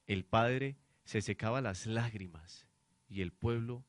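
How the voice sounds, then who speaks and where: A man's voice: on the low side, slow, no echo. A man narrating a radio drama in a scripted, dramatic storytelling style.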